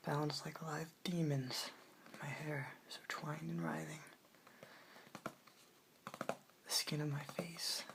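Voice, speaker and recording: Slow, low whispering woman. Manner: whispered, breathy, unhurried delivery. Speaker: ASMR host reading poetry aloud. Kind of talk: soft-spoken ASMR poetry reading. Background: hiss, clicks from tapping and page turning.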